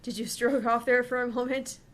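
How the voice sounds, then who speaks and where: A woman's voice: fairly high pitch, little pitch movement, fairly quick. A young woman hosting a visual novel let's play, reading dialogue aloud and chatting in a casual, joking way. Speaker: gaming channel host.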